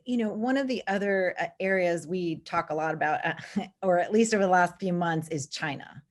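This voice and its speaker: A woman co-hosts a podcast interview, putting questions to her guest in a relaxed, conversational manner. Her voice sits a little low, with a few fillers along the way. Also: a little fast. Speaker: podcast co-host.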